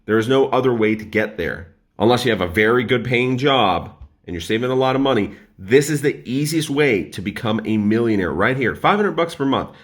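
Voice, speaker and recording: A man in his twenties talking straight to his viewers in a casual, energetic explainer about money. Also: mid-range voice, brisk. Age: 20s. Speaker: personal finance YouTuber.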